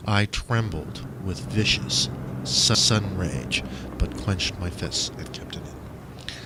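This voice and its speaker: A man's voice: sits low, slow pace. A man reading a first-person story aloud as a dramatic audiobook narrator. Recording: faint noise behind the voice.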